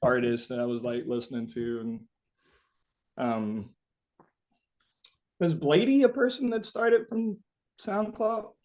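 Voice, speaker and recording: A man being interviewed as a musician, talking casually and haltingly as he searches for the right words. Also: medium pitch, darkish tone.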